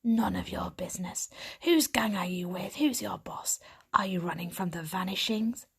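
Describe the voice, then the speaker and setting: A woman voicing a tough, suspicious female street cat, speaking in a gravelly, breathy whisper with curt, gruff questions. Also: on the low side, normal speed, exaggerated voice.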